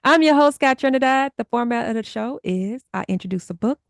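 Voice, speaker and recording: A woman with a fairly high voice and a close, dry sound hosts a podcast. She is upbeat and enthusiastic, addressing her listeners directly as she presents and interviews. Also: animated, a little fast, creaky, no room sound. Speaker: podcast host.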